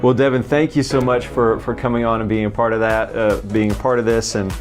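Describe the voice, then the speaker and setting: A man's voice: mid-range voice, flat delivery, a little fast, faint music behind. A man hosting a podcast interview, asking questions and acknowledging the guest often. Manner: encouraging, frequent short acknowledgments. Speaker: podcast host.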